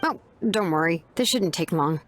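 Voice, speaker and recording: A woman's voice: fairly low pitch, expressive, normal speed, slight background noise, natural voice. A woman playing a laid-back, sincere young craftswoman in an anime game, speaking in a casual, frank way with slight hesitation. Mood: reassuring.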